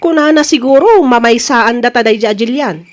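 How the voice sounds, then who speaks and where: Actress as a high-voiced Ilocano eatery woman. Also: moderate pace, close to an everyday voice. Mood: brisk, practical. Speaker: actor in radio drama. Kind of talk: Filipino comedy radio drama acting.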